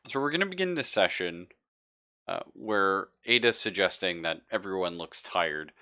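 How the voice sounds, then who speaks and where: Low, bright-voiced male game master. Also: lively intonation, normal speed. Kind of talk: casual tabletop game narration. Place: live online game session.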